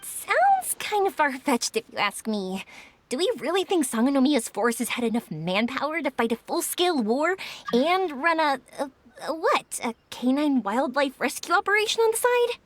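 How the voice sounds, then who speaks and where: A woman's voice: high-pitched, sing-song, moderate pace, slight background noise. A woman playing a bubbly, sociable young woman in a video game, chatty and enthusiastic. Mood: skeptical.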